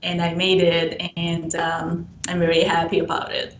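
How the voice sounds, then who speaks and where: Woman w persian accent, slightly low voice, halting interview answers, distant mic w a bit of noise. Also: completely flat delivery, echoey room, crowd noise. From Iran. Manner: halting, pausing often between phrases. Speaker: interviewed model.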